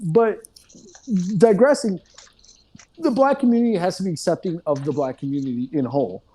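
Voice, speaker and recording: A man's voice: high-pitched, normal speed, warm tone, breathy edge. A man in a casual group discussion, talking in a loose, digressive way and often checking in with "you know what I mean".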